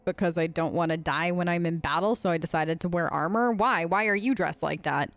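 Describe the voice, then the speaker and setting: A woman's voice: fairly low pitch, fairly quick, dry recording. A woman giving casual, wry gaming commentary as a let's play host, chatting in character on a close mic.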